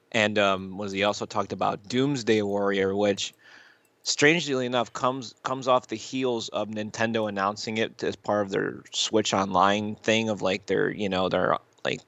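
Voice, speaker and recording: Low-voiced man rambling casually on a podcast. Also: gravelly, a bit bright, no room sound. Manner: rambling, halting, circling back on phrases; casual swearing. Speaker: podcast co-host. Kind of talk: casual chat, reviewing YouTube videos.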